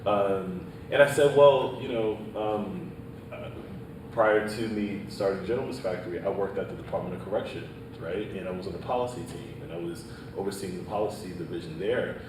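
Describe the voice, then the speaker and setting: A man's voice: sits low, normal speed. A man from Haiti, speaking earnestly on a panel and peppering his points with "right?" and "um". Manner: earnest, repeats "right?" and "um", leans on data. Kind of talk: panel discussion answer. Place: panel event in a hall. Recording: echoey room, distant mic, background noise.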